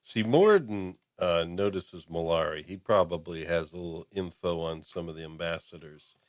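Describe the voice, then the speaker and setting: A deep-voiced male podcast host talks through an episode recap in a loose, unhurried ramble. He pads his sentences with ums and uhs and circles back on his points. The sound is dry and close, with no room echo.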